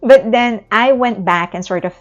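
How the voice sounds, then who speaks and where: A woman's voice: on the high side, moderate pace. A woman from the Philippines with a Filipino accent, explaining her thoughts in a reflective video essay, with small restarts. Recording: slightly muffled, faint background music.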